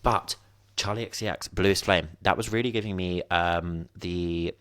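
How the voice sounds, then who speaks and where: Chatty young man, very low voice. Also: steady tone, a little slow, a bit bright, clean tone, no room sound, studio quality. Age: 20s. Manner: chatty, rambling, self-mocking asides. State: sniffly nose. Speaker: podcast host. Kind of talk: casual solo podcast chat. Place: at home, indoors.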